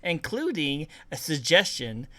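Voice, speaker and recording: A bubbly male host chats and laughs easily. His voice is bright and a little high, and it sounds dry and close to the mic. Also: sing-song, a little slow, no room sound. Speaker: interviewer, stream host. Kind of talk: casual chat.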